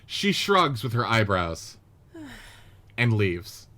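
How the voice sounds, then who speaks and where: A man narrating the stage directions of a script reading in a steady, matter-of-fact way. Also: medium pitch, extremely slow.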